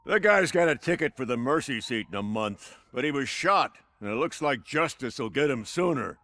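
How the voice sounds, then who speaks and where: A man's voice: medium pitch, lively intonation, normal speed, pushed and bright, dry recording. An actor playing a desperate, bitter man caught in a prison riot in a video game, agitated and strained. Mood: tense. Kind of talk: video game cutscene acting.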